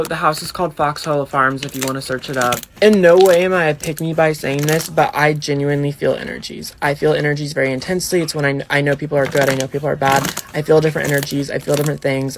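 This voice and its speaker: A man's voice: on the high side, fairly even intonation, fairly quick, hardly any pauses, slight background noise. A man telling a personal story casually and emphatically, with conversational slang. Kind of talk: casual first-person storytelling for social video.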